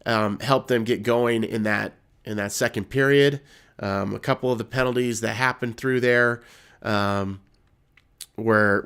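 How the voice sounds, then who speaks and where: A man with a slightly hoarse voice from being ill, hosting a live postgame hockey show. He talks in a loose, rambling way, full of fillers and restarts, at an ordinary middle pitch. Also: fairly bright tone. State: hoarse from illness. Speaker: hockey podcast host. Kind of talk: casual postgame analysis.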